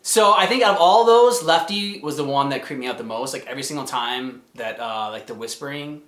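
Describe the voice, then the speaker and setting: A man's voice: mid-range voice, brisk, a bit bright. A man giving casual, conversational commentary as the host of a reaction video. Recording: echoey room.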